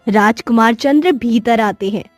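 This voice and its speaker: A woman's voice: on the high side, a little slow, dry recording, faint music behind. A woman reading scripted narration for a cartoon story as its narrator.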